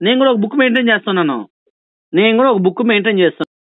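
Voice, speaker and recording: A man's voice: high voice, animated, rapid-fire, dry recording. A man arguing over a phone call as a borrower, sarcastic and insistent, repeating himself. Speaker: borrower on a debt collection call. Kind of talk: argumentative phone conversation. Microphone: phone line. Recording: thin, band-limited phone call recording.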